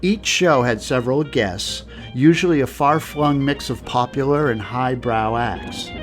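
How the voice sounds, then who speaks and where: A man's voice: medium pitch, unhurried, music in the background. A man reading scripted documentary narration as the narrator.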